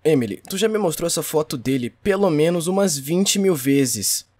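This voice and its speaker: A man's voice: a little high, animated, normal speed, darkish tone, dry recording, clean studio sound. A man with a Brazilian accent playing an exasperated, grumbling friend in a comedic cartoon fandub. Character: exasperated male friend fed up with cat photos.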